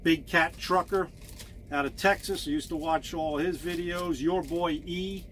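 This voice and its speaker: A man in his fifties, a truck driver, talking casually to camera in a monotone, halting way with many fillers and repeated words. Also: on the high side, a little hiss or hum. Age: 50s.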